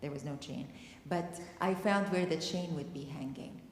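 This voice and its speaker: A woman's voice: low voice, normal speed. Earnest woman in an echoey hall. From Palestine. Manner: emotional, near tears, earnest. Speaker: panel speaker. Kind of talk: personal story at a community discussion. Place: church hall. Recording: echoey hall, faint noise.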